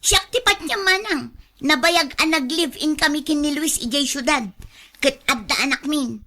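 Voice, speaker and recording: A woman's voice: high-pitched, moderate pace, pushed and bright. A woman acting an indignant jilted girlfriend in a radio drama, with an Ilocano accent. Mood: indignant, accusing. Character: indignant jilted live-in girlfriend confronting her lover.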